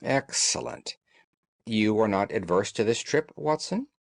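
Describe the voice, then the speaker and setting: Guy doing a sharp-minded gentleman detective, formal, deliberate, lots of pitch movement, dry recording. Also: animated, gravelly, no room sound. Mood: brisk. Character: shrewd, commanding gentleman detective, calm and analytical.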